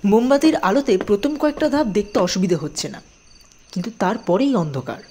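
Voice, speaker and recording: A woman narrating a scripted audio story in an expressive storytelling manner. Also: medium pitch, brisk, a little hiss or hum.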